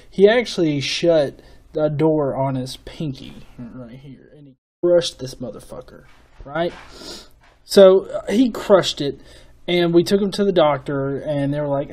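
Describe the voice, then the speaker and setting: A man hosting a casual vlog, his voice sitting a little high for a man. He talks in a sarcastic, animated way and curses freely while mocking viewer comments. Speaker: channel host.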